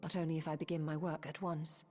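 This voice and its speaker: A woman playing a calm, formal sorceress. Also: sits low, faint music behind, close to an everyday voice. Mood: composed. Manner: measured, formal and assured. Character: wise, composed female sorceress. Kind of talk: video game voice acting.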